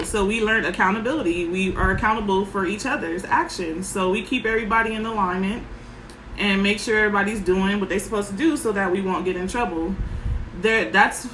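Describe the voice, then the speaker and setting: A woman parenting coach with a soft voice, giving frank advice in short, emphatic sentences. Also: mid-range voice, reverberant, slight background noise, low-quality recording. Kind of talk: casual advice talk.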